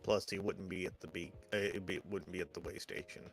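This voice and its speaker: Gravelly, blunt man with a lowish voice. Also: fairly even intonation, brisk, faint music behind. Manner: blunt, profane. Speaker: player in a tabletop roleplaying game. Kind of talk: casual in-character banter and planning.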